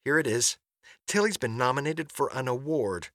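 A man voicing an excitable, chatty, flustered middle-aged mother in an audiobook, gushing and rambling through phone messages. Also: medium pitch, normal speed, dry recording, studio quality, pitched above the performer's own voice, lightly acted. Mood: giddy, excited. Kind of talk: audiobook character voice.

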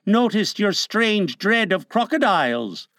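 A man's voice: sits high, very animated, measured pace, fairly bright tone, no echo, studio quality, pitched above the performer's own voice, full character voice. A man voicing a simple, chummy pirate boatswain in a storybook reading, earnest and guileless.

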